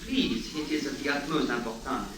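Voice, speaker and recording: An actor playing a humble, earnest old schoolteacher in a 1950s radio drama, speaking in a polite, hesitant way. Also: male voice, mid-range voice, big pitch swings, moderate pace, close to an everyday voice. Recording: vintage radio recording, faint hiss.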